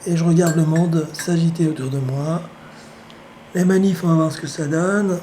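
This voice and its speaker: A man with the flu talks at home in a loose, meandering monologue, his hoarse voice mellow and dark. He is wry and sardonic, and he pauses often with drawn-out hesitations. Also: a little high, fairly even intonation, slight background noise, low-quality recording. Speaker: vlogger. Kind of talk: rambling casual monologue.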